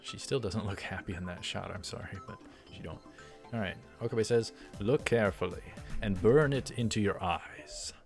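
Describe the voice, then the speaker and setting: A man's voice: fairly low pitch, normal speed, creaky. A man hosting a let's play, reading a visual novel script aloud as narrator and for its characters. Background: game music.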